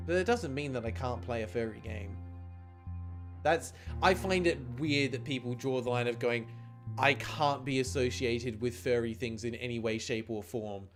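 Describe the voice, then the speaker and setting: A man streaming a game, chatty and expressive as he comments and reads the text aloud. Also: medium pitch. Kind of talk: livestream chat and read-aloud game commentary. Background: game music.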